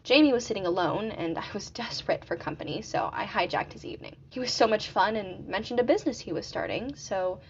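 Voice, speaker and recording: A woman's voice: medium pitch, expressive, fast, few pauses, lightly acted. An actress playing a sociable, teasing young woman in a radio play. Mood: wry, recollecting. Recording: muffled, noisy background ambience.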